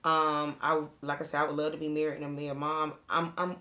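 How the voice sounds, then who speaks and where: A woman in her thirties co-hosting a podcast, rambling in relaxed casual chat and laughing easily. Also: deep voice, brisk. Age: 30s. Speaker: podcast co-host.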